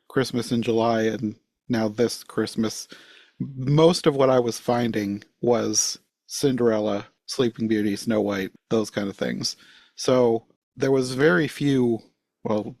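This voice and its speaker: A man's voice: medium pitch, gravelly, a little mellow, no room sound. Man hosting a podcast, close dry sound. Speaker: podcast host. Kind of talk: casual podcast chat.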